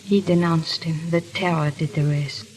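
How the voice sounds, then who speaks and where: A woman's voice: sits low, steady tone, normal speed, darkish tone, lightly acted. A woman playing a proud, guilt-ridden aristocratic wife in a theatrical, urgent 1930s radio drama style. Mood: remorseful, distressed. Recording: crackly, hissy 1930s radio recording.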